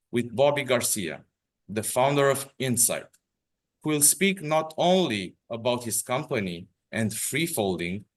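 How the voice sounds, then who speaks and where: Young man, romanian accent, webinar host, careful measured reading, mid pitch. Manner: careful and measured, a little tense. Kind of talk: reading a prepared introduction.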